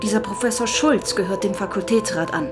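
An actress playing a brisk, commanding police investigator in a crime radio drama. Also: female voice, medium pitch, loud music behind the voice, natural voice.

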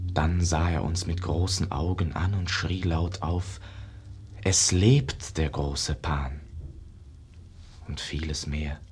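An actor playing a dreamy, rapturous young painter's disciple, declaiming verse in a hushed, lyrical way in a 1950s radio drama. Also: male voice, low voice, slight background noise. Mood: awed, troubled. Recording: muffled-edged 1950s radio play recording.

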